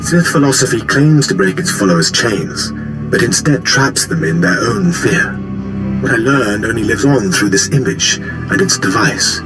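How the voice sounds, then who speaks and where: Man voicing an ancient sith hologram for a game, lowish voice, calm preachy philosopher, music under it. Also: close to an everyday voice, poor audio. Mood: serene conviction. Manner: solemn, measured, preaching. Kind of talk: dramatic game cutscene acting.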